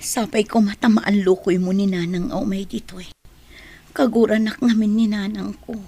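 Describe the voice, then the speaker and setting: A woman playing a regretful young daughter in a radio drama, plaintive and slightly husky. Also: medium pitch, steady tone, normal speed, warm tone. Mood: wistful. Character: regretful young daughter longing for her mother.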